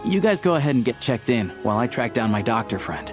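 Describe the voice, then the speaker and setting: A man's voice: mid-range voice, sing-song, quick pace, natural voice. A man playing a friendly young local guide in a video game, explaining things in a casual, helpful way. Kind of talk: video game cutscene dialogue. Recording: music playing behind the voice.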